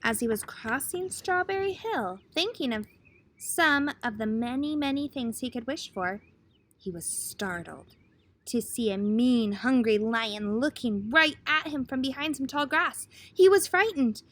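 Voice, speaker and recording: Woman storyteller, fairly high voice, expressive picture-book read-aloud for young listeners, dramatic pauses.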